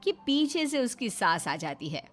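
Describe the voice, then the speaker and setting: A woman's voice: fairly high pitch, sing-song, a little slow, soft background music. A woman narrating a scripted moral story.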